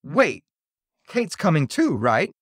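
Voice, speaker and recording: A man's voice: on the high side, wildly swooping pitch, slow, husky, no echo, studio quality, pitched above the performer's own voice, exaggerated voice. A man voicing a desperate, frightened girl in a horror story, urgent and pleading. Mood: panicked.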